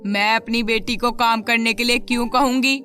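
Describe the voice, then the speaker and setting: Cruel cartoon mother, acted by a woman: stern, threatening, domineering, sharp bright tone, music underneath. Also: on the high side, fairly even intonation, moderate pace. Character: harsh, scolding stepmotherly mother, domineering. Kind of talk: animated children's moral story.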